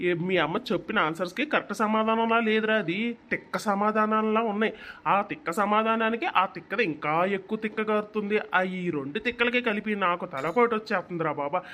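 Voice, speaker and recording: A man's voice: high voice, sharp tone. A man voicing a harried, grumbling yet playful father in a comedy cartoon, with a Telugu accent and a teasing, complaining manner.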